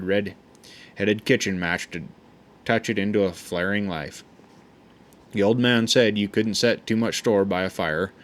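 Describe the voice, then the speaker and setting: Slow folksy man reading a memoir. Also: on the low side. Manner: unhurried, folksy, with frequent stumbles and restarts. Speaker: audiobook reader.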